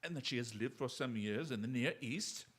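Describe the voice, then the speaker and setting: An actor playing a clever, self-assured Victorian detective in a radio drama, with a droll, precise, theatrical delivery. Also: male voice, medium pitch, brisk, a bit bright, lightly acted. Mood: smug.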